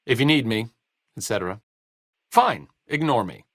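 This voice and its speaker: A man's voice: medium pitch, animated, normal speed, fairly bright tone, dry recording. A man playing a sardonic, bookish, self-deprecating idealist doctor in a video game, with dry, sarcastic, wordy delivery. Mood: wry, grumbling.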